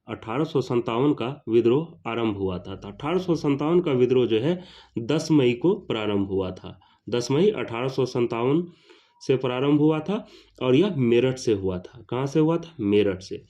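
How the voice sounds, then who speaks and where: A man teaching history for an exam. He reads each question, then repeats it and its answer, and he calls out each question number higher and louder. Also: lively intonation, measured pace, highs cut off.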